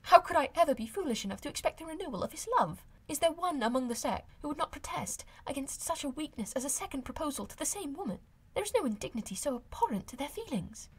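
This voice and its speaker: A woman voicing a spirited, witty young woman in an audiobook of a classic novel, with pointed, expressive delivery. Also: high-pitched, fast, pitched above the performer's own voice. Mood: indignant, vexed.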